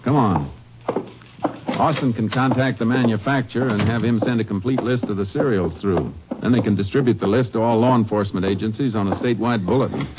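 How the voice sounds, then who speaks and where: An actor playing a steady, methodical Texas Ranger investigator in a 1950s radio drama, talking in a calm, matter-of-fact way. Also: male voice, lowish voice, brisk, lightly acted. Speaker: Ranger lead and narrator. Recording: dull lo-fi old radio recording with faint hiss.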